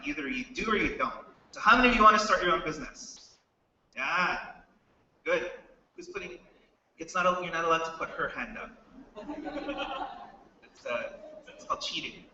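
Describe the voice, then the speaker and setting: Bright-voiced American man in echoey hall. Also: sits high, dull audio, slight background noise. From United States. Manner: chatty, asks the audience questions. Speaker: startup co-founder speaker. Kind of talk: casual talk to students. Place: hall with a live audience. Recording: echoey hall, mic from far off.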